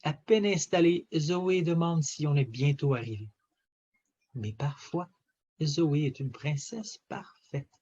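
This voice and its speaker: A man reading a children's story aloud in an expressive, theatrical way with emphatic phrasing. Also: a little high, slow, slightly rough, lo-fi sound. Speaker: storybook reader.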